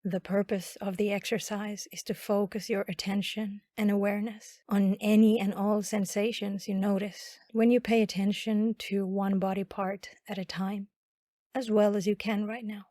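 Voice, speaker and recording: A woman guiding a body scan meditation in a calm, instructional manner with long pauses. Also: medium pitch, dry recording, studio quality. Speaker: meditation guide.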